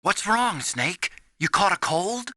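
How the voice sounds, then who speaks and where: An actor voicing a timid, soft-hearted young male scientist in a video game, earnest and anxious as he explains things. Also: high voice, very animated, takes it slowly, heavily acted. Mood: helpful.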